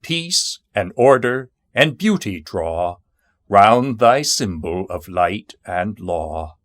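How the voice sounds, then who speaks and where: A man reciting narrative poetry in a measured, solemn and dramatic manner. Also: lowish voice, big pitch swings, no room sound, studio quality. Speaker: poetry reader.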